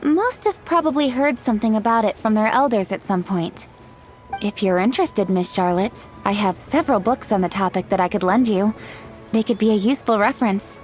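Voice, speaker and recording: An actress playing a poised, earnest young woman official in a video game, speaking in an articulate, measured, explanatory way. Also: mid-range voice, darkish tone, natural voice. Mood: thoughtful, earnest. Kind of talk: scripted video game dialogue acting. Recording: music behind the voice.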